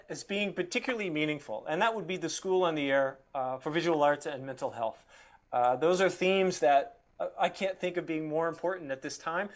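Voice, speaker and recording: A man with a fairly high voice delivers a formal, reflective address. He sounds earnest and measured, placing clear emphasis on key phrases as a guest speaker would. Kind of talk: formal closing speech, quoting and reflecting.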